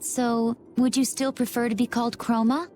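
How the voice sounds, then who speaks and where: A woman playing a poised, serene, gently teasing young warrior in a video game. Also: a little high, little pitch movement, moderate pace, slight background noise, lightly acted.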